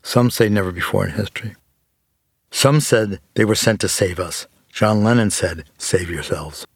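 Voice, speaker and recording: A man's voice: fairly low pitch, normal speed, husky, no room sound, clean studio sound. A man reading scripted narration as the introducer of a collection of interviews.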